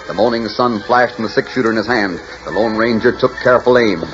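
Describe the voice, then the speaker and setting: A man's voice: lowish voice, fast talker, loud music behind the voice. Dramatic 1940s radio narrator, a man. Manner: dramatic, stirring. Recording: dull 1940s radio recording.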